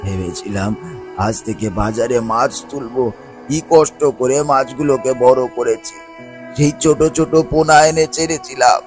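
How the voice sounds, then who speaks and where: A man's voice: medium pitch, unhurried, faint music behind, lightly acted. Man acting a plaintive, heartbroken fish farmer. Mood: sorrowful. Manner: plaintive, lamenting. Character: heartbroken poor fish farmer lamenting his ruin. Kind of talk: cartoon voice acting.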